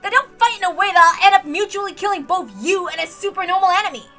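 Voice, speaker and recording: Hot-headed young boy fighter played by a woman: high, piercing, indignant and bossy, for a dramatic story reading. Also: quick pace, bright, forceful tone, soft background music, exaggerated voice. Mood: scolding, lecturing. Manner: emphatic, scolding, indignant. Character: short, hot-headed, boastful young male fighter, lecturing rival.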